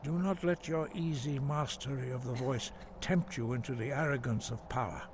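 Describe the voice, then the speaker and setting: An actor playing a stern, solemn elderly monk mentor in a fantasy game, speaking in a measured, formal, grave manner. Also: male voice, medium pitch, lightly acted. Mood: grave, cautionary. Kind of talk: scripted fantasy game dialogue. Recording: very noisy game audio with background ambience.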